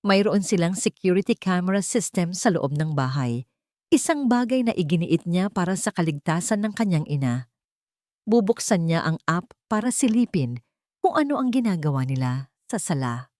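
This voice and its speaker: A woman's voice: on the low side, big pitch swings, normal speed, darkish tone, dry recording, studio quality. A woman with a Filipino accent giving a dramatic scripted narration of an audio story.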